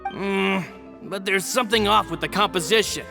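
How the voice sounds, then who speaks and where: A man's voice: high-pitched, normal speed, creaky, bright, forceful tone, soft background music, heavily acted. An actor playing a loyal, easygoing right-hand man of a guild boss in a game cutscene. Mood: dry. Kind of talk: video game cutscene dub.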